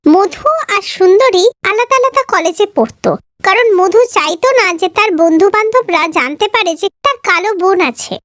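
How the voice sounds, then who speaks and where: Very high-pitched woman storyteller. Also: expressive, normal speed, fairly bright tone, no echo, clean studio sound. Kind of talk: scripted fairy-tale cartoon narration.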